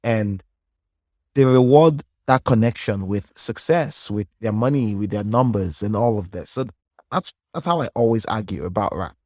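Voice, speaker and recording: A man's voice: fairly low pitch, expressive, normal speed, a little mellow, no echo, studio quality. A man, a podcast host making a careful, articulate argument. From Nigeria. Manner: articulate, argumentative, builds points in lists. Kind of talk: thoughtful interview talk.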